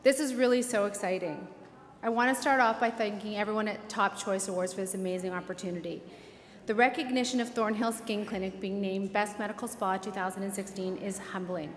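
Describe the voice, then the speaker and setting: A woman's voice: mid-range voice, steady tone, brisk. A woman in her forties who owns a clinic, giving an earnest, grateful acceptance speech at a podium in a large banquet hall. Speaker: award winner, clinic owner. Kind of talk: acceptance speech and red-carpet interview. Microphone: podium microphones. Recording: echoey banquet hall with music.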